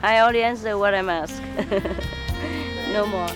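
A woman giving interview answers as a spiritual teacher, speaking halting English with many fillers and small laughs. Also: mid-range voice, over music. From Vietnam.

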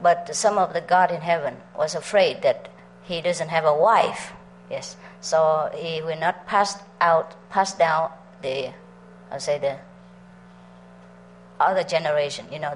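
Middle-aged woman teacher, lower register, laughs and quizzes her audience as she tells stories. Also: unhurried, a little hiss or hum, poor audio. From Vietnam. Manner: chatty, laughs often, asks the audience questions. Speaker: spiritual teacher. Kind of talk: storytelling talk to a meditation group.

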